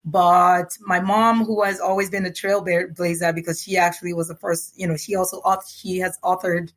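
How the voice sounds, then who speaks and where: A woman from Nigeria, speaking American English with a light Yoruba tinge, talks as a guest on a podcast. She is earnest and reflective, laughs easily, and slows down to stress her points. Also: on the low side, flat delivery. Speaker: guest author. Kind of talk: relaxed podcast interview.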